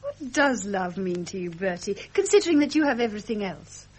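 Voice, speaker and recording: A woman's voice: mid-range voice, big pitch swings, brisk, slightly rough, low-quality recording. A woman playing a coquettish society beauty in a radio drama, teasing and romantic. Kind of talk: 1970s British radio drama acting.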